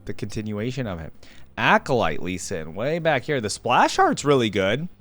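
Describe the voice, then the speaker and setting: A man's voice: mid-range voice, very animated, moderate pace, fairly bright tone, soft background music. A man giving casual, informal reaction commentary, rambling along as he talks straight to his viewers. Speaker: reaction video host.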